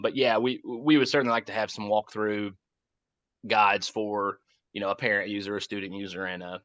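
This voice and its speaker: A lowish-pitched American man speaks informally, like a project lead fielding questions on a conference call. His delivery is halting, often circling back to rephrase. The sound is dry and slightly dull, as through a call. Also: a bit bright, no room sound.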